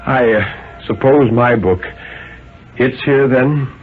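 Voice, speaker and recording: A man voicing a troubled, weary writer who speaks hesitantly and haltingly, in a dramatic radio story reading. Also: mid-range voice, slightly rough, narrow, tinny audio. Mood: tired, tentative. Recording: thin, band-limited 1950s radio recording with music.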